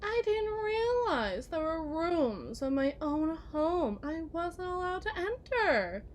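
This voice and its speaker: High, swooping, possessive yandere wife. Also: female voice, big pitch swings, takes it slowly, slight background noise, heavily acted. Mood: mock-polite needling. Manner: mannered, condescending, syrupy endearments, veiled threats. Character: possessive, genteel yandere kidnapper wife, menacingly sweet. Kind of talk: ASMR roleplay, theatrical monologue.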